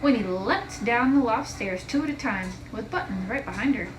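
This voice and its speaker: A woman's voice: on the high side, normal speed, far from the mic. A woman teacher reading a children's story aloud expressively, recorded in an echoey, noisy room. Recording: echoey room with clear background noise.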